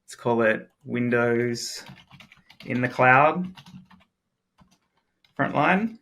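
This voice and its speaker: A man with an Australian accent walking through a software demo in a relaxed, chatty way, full of "uh" and "um" fillers. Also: medium pitch, extremely slow. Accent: Australian English. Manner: chatty, frequent "uh" and "um" fillers, repeats words. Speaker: product expert giving a demo. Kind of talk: casual technical demo walkthrough.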